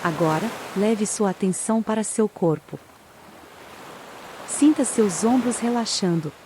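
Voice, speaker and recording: Mellow Brazilian woman guiding meditation over waves. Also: mid-range voice, slight background noise. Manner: slow, calm, soothing delivery with long pauses. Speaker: guided meditation narrator. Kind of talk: guided meditation, scripted narration. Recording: ocean waves behind the voice. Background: sound of sea waves.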